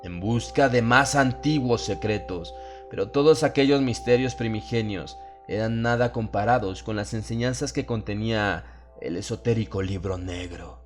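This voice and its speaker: Low-voiced man narrating horror, music behind. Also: normal speed. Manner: solemn, dramatic. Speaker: audiobook narrator. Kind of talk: dramatized horror story narration.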